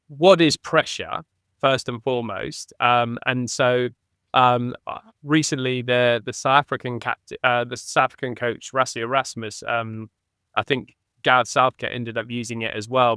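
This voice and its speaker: A man who played rugby, a podcast guest reflecting conversationally and thinking aloud with restarts, getting animated on key points. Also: mid-range voice, smooth, no echo, clean studio sound. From England.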